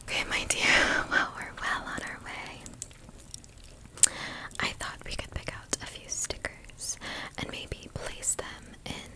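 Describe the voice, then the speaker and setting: A woman doing an ASMR shopkeeper roleplay in a breathy whisper, speaking softly and attentively to the listener. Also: rough recording. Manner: soft, unhurried, attentive to the listener. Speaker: ASMR host playing a candy shop keeper.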